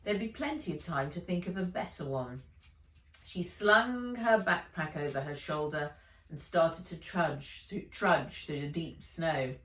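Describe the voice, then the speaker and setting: Woman, children's storybook narrator, measured and expressive, lowish pitch, roomy sound, distant mic. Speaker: author reading own book aloud. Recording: echoey room, distant from mic.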